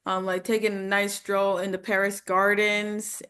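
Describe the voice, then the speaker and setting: A woman's voice: mid-range voice, completely flat delivery, unhurried, a bit bright, clear, resonant tone. A woman studying voice acting, giving casual answers in a hesitant way as she thinks aloud. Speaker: voice acting student. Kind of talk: casual conversational answers in a lesson.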